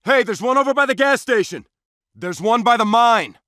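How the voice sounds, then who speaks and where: A man's voice: extremely high pitch, expressive, moderate pace, pushed and bright, no room sound, clean studio sound, full character voice. A man playing an intense SWAT officer in a shooter game, making clipped, urgent, commanding tactical callouts. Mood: tense reporting. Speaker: video game voice actor.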